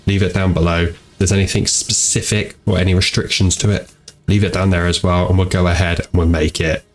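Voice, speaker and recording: A man's voice: deep voice, little pitch movement, a little fast. A tired man with a blocked-up nose from a cold, chatting in an easygoing, rambling way as he hosts a gaming channel into a home microphone. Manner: easygoing and rambling, repeats "go ahead". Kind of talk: casual commentary over gameplay. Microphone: home desk microphone.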